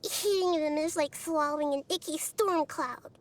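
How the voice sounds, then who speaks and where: A woman voicing a gentle, wise and curious young girl deity in a video game, thoughtful and full of childlike wonder. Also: sits high, moderate pace, close to an everyday voice. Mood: thoughtful, candid. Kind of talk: video game character voice lines.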